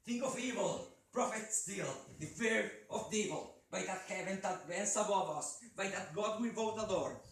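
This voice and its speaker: Teenage man playing a desperate grieving man, voice raised high. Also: normal speed, few pauses. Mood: anguished. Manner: desperate, declaiming. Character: grief-maddened man pleading and raging at a raven. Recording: very echoey room, distant mic, faint noise.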